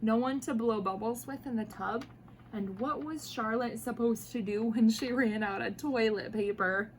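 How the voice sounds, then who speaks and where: A woman with a high voice reads a children's picture book aloud. Her delivery is expressive and playful, aimed straight at young listeners. There is faint noise behind her. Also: moderate pace. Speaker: mother reading picture books aloud to children. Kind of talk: animated storybook read-aloud.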